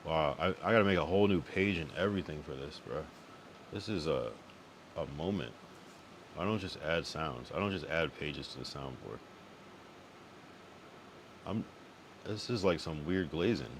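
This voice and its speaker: A man's voice: extremely low pitch, expressive, normal speed, long pauses, background noise. A young man from the US East Coast chatting loosely on a late-night live stream, laid-back and profane, often repeating his phrases. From Connecticut and Massachusetts, US East Coast.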